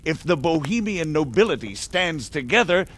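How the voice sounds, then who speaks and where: An actor playing a shrewd, persuasive medieval margrave with an emphatic, aristocratic manner in a game cutscene. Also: male voice, fairly high pitch, sing-song, moderate pace, a bit bright. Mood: confident. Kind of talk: dramatic game cutscene acting.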